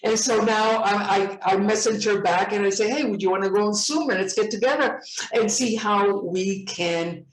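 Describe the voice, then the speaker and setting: A woman's voice: medium pitch, normal speed. Enthusiastic woman in her seventies, roomy sound. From Puerto Rico. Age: 70s. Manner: enthusiastic, repeats words when excited. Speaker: participant. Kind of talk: casual video-call chat.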